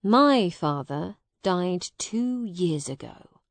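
Lady reader as cold hostile brother in a storybook, curt and bossy, speaks super slow, dry sound. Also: on the low side, expressive, no echo, exaggerated voice. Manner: curt, commanding. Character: cold, stern, hostile brother disowning his sibling. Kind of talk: graded audiobook character dialogue.

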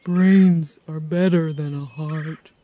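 A woman's voice: sits low, steady tone, slow pace, warm tone, slight background noise. A woman voicing a friendly male scarecrow in a storybook reading, plain and earnest.

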